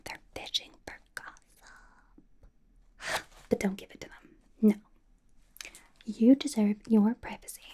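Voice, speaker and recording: Bubbly young female K-pop idol, played by a woman, ASMR roleplay. Whispered, mellow, casual, confiding and chatty. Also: medium pitch. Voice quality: breathy, mostly whispered. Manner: rambling, casual, confiding, with drawn-out emphasis. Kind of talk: ASMR personal attention roleplay.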